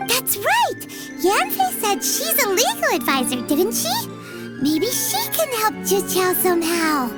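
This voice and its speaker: A tiny, nosy game sidekick, squeaky and extremely high. The delivery is childlike, exclamatory and very bouncy, over loud music. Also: female voice, huge pitch swings, a little slow, exaggerated voice. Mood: excited. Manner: squeaky, exclamatory, refers to self by name. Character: small childlike floating companion, cheeky, nosy, third-person talker. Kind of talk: anime game voice acting.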